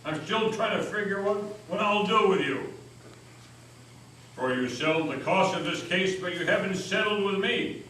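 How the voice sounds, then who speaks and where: Male actor as proud, defiant frontier-era orator for stage drama, folksy, slightly high voice, very echoey hall. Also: moderate pace, bright, forceful tone, slight background noise. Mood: teasing menace. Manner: bold, declamatory, folksy. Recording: echoey hall, distant mic, music.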